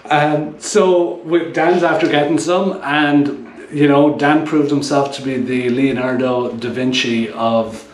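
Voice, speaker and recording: A man in his thirties chatting casually as co-host of a motorcycle build video, relaxed and joking, recorded in a home garage. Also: mid-range voice, slow pace, hardly any pauses. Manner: joking, relaxed, laughs often. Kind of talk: casual workshop chat. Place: home garage workshop, indoors. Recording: echoey garage with faint noise.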